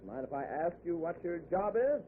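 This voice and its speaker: An actor playing a friendly, talkative driver in a breezy, nosy manner, in 1940s radio drama style. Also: male voice, a little high, big pitch swings, normal speed. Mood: nosy, chummy. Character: chatty, friendly middle-class man driving at night. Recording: tinny, noisy old radio recording.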